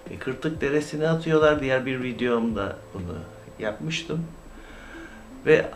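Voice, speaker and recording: A man giving informal, opinionated YouTube commentary in a halting, indignant, emphatic manner with rhetorical questions. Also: mid-range voice. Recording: clear background noise, amateur recording.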